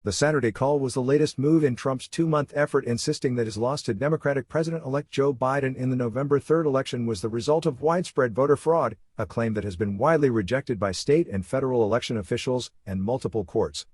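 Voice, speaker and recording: A man reading a scripted news report in a formal, measured manner. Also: medium pitch, darkish tone, breathy edge, no room sound. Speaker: news reader.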